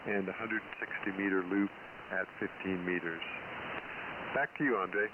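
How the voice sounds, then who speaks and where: Low-voiced man over hissy shortwave radio. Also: steady tone, normal speed. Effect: received over radio. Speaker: amateur radio operator. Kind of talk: ham radio calling and casual exchange. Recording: noisy narrow-band shortwave radio with hiss.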